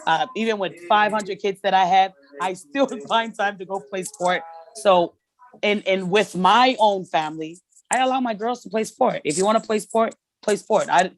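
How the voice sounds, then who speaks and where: Tongan-born mother, candid and halting. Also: medium pitch, rough recording. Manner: candid, halting, restarts often. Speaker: podcast guest. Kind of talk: casual conversation.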